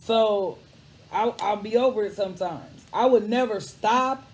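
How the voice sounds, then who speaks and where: Relaxed high-voiced male host. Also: measured pace, poor audio. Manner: relaxed, conversational, candid. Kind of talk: casual interview chat.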